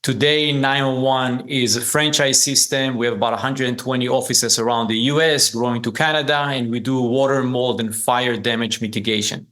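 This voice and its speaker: A man in his forties who speaks with an Israeli accent and has Hebrew as his first language. His voice sits at a middle pitch. He talks as a podcast guest, telling his story in a conversational way. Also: fairly even intonation, moderate pace, hardly any pauses, fairly bright tone. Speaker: podcast guest, business founder.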